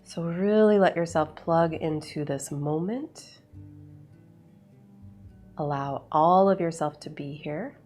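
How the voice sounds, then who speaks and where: A woman with a low voice guides a meditation over background music. She speaks slowly and soothingly, setting out each phrase in a measured way and leaving long pauses between them. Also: a little mellow.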